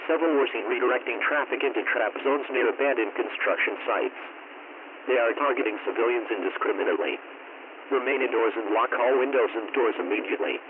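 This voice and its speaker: A man reading a scripted emergency broadcast as an alert announcer, in a formal, measured, impersonal manner through a radio filter. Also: medium pitch. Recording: tinny narrowband radio, clear static noise.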